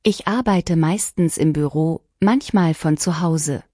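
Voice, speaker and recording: A woman reading short lesson sentences one at a time, each clearly and deliberately, for learners to repeat. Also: low-pitched, mellow, dry recording, clean studio sound. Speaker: language lesson reader. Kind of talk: scripted shadowing practice reading.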